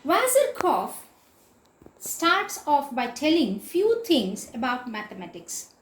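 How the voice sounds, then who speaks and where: A woman's voice: high voice, big pitch swings, takes it slowly. A woman teacher giving an explanatory literature lecture in a didactic, emphatic way, repeating and spelling out key words. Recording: echoey room.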